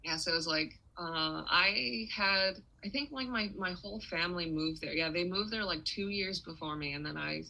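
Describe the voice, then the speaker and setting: A woman's voice: lowish voice, moderate pace, vocal fry, few pauses, pushed and bright, low-quality recording. A young adult woman from Taiwan, a musician and interview guest, talking casually with many restarts and fillers.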